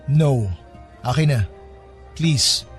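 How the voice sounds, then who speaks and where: A man's voice: medium pitch, drawn-out words, faint music behind. A man playing a stern, pompous young Filipino law student in a radio drama, formal and aloof. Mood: insistent, lawyerly. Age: young adult. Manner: stern, formal, mixes English into Tagalog. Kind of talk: Tagalog radio drama acting.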